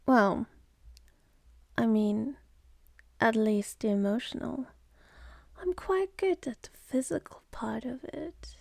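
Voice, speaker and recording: Woman acting a creaky, slow-drawling sardonic demoness. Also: a little high, a little mellow, dry recording, studio quality. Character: sardonic, seductive, contemptuous female demon. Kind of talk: ASMR roleplay monologue. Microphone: close mic.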